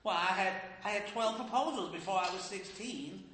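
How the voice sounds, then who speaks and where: A man's voice: sits high, normal speed, pushed and bright. A man playing a fussy, proud old grandmother in a stage play, genteel and scolding. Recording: echoey hall with faint noise.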